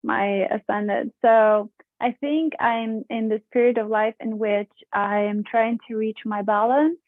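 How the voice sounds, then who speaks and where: A woman's voice: on the high side, steady tone, a little slow, no echo, narrow, tinny audio. A woman from Romania hosting a podcast, talking in a hesitant, chatty way with many fillers and frequent laughs. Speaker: podcast host. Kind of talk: casual interview chat.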